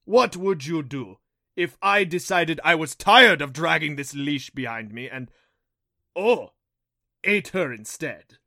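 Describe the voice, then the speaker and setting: A man voicing a cruel fanged beast in a fantasy audiobook, sneering and taunting with theatrical, drawn-out mock sorrow. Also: fairly high pitch, expressive, pushed and bright, no room sound, studio quality, voice pushed up, exaggerated voice. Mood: gloating menace. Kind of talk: audiobook character voice for a fantasy story.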